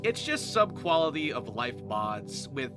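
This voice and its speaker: Higher-pitched man hosting over music. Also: sing-song, unhurried. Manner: informal, rambling, occasionally profane. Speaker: roleplay series creator and host, voice actor. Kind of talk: casual gameplay commentary introducing a series.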